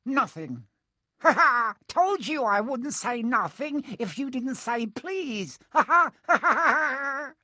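A man's voice: very high-pitched, sing-song, slow pace, few pauses, sharp tone, no room sound, studio quality, voice pushed up, heavily acted. A man voicing a mischievous poltergeist in an audiobook, with gleeful, teasing, sing-song baby talk. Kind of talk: audiobook character voice.

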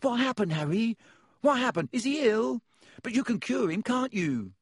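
Small keen schoolboy, high piping voice, breathless, excitable, dry audiobook sound. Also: male voice, normal speed, breathy edge, no echo, full character voice. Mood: agitated. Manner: breathless, eager questioning. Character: small, excitable, star-struck younger schoolboy.

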